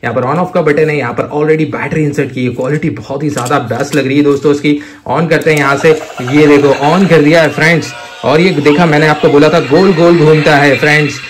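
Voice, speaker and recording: Man, fairly high pitch, excitable, exclamatory, enthusiastic toy presenter. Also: background noise. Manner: excitable, repetitive exclamations of amazement. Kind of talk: enthusiastic hands-on toy showcase, addressing viewers as friends.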